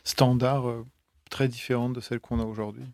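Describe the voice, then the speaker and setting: Halting man linguist, dry sound. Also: medium pitch, flat delivery, dry recording. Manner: halting, many filler pauses. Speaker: linguist guest. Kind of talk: interview answers.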